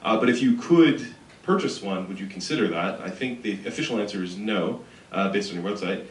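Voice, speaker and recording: Eager low-voiced man asking technical questions. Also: lively intonation, a little fast. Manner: eager, technical, thinks aloud. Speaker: audience member asking questions. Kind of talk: question from the audience. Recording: echoey room, distant mic, faint noise.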